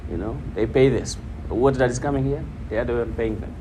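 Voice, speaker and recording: A man's voice: medium pitch, fast. A man preaching informally to a congregation, repeating phrases for emphasis. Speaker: preacher teaching hadith. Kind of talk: informal religious talk to a congregation, quoting Arabic. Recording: noisy room with steady background hum.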